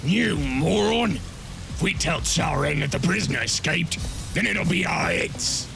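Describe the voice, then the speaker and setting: A gruff, rowdy warrior played by a man in an audio drama, with a heavily creaking gravel voice. His pitch swings widely as he sneers and barks over clear background music. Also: expressive, a little slow, exaggerated voice. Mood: scornful, agitated. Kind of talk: fan audio drama acting. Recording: music under the voice.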